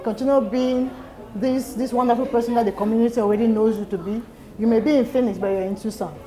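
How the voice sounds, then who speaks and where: A woman's voice: a little high, fairly even intonation, fast talker, dark tone, poor audio. A woman giving a heartfelt birthday toast, earnest and laughing between phrases. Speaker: party guest giving a toast. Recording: crowd noise at a party.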